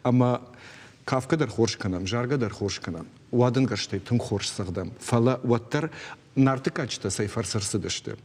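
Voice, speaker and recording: A man's voice: medium pitch, moderate pace, darkish tone, breathy edge. A man with an Ossetian accent talking unscripted in an interview, often searching for his words. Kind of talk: unscripted studio interview talk. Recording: echoey room.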